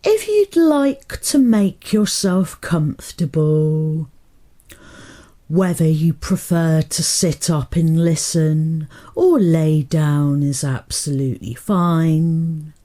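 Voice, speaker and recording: A woman hypnotherapist guiding a meditation in a soothing, deliberate manner, pausing between short phrases. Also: low voice, animated, dark tone. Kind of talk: guided meditation hypnotherapy narration.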